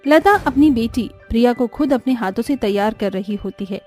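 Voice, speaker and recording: Female narrator for scripted family tales, mellow timbre, raised pitch, quiet music bed. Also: expressive, normal speed.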